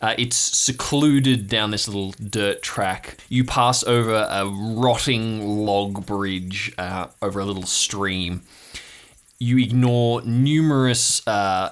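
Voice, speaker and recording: A man narrating an improvised audio drama as game master, descriptive and halting as he makes it up. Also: lowish voice, a bit bright.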